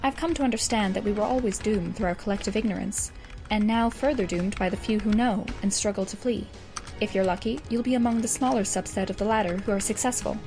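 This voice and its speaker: A woman's voice: medium pitch, quick pace, a little mellow, soft background music, close to an everyday voice. A woman playing a wry, erudite teenage girl, reading out her doomsday game guide in an audio drama with a verbose, sardonic manner. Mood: dry, grave. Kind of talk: scripted audio drama monologue.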